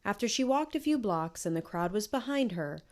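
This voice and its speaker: A woman reading a children's book aloud in measured, clearly phrased storytelling narration. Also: mid-range voice, expressive, a little mellow. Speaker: storybook reader.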